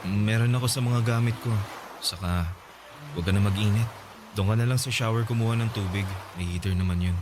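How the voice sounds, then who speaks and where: An actor playing a gentle, grateful, feverish twenty-year-old rich son in a Tagalog radio drama, polite and weary. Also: male voice, on the low side, fairly quick, background noise, lightly acted.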